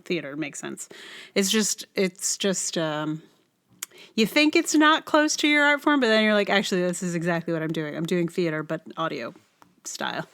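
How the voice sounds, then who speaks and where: A woman's voice: lowish voice, fairly bright tone, clean tone. A woman hosting a solo podcast in a casual, rambling way, often thinking aloud in unfinished phrases. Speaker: podcast host.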